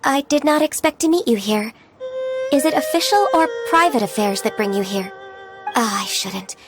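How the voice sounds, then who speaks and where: A woman playing a gentle, formal, withdrawn half-immortal secretary in a video game. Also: a little high, lively intonation, normal speed, music in the background. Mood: reserved. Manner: formal, reserved.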